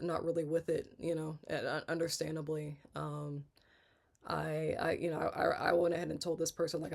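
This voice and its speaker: A congested woman in her thirties talking candidly in a personal vlog, halting and rambling, often restarting and trailing off. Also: low voice, creaky. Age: 30s. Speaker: vlogger.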